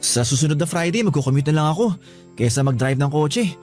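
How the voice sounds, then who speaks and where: An actor playing a tired young husband who pleads and gets flustered in a radio drama, with faint music behind. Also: male voice, on the high side, lively intonation, a little fast, darkish tone, natural voice. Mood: weary. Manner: weary, pleading, flustered when pressed. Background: background music.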